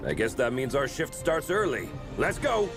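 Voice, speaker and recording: A man voices a gruff superhero cop from a comic-style brawler game. He is sardonic, in a mid-range voice that swings widely in pitch. Music plays clearly behind him. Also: very animated, normal speed, lightly acted. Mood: resigned, brisk. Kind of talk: comic-book game cutscene voice acting.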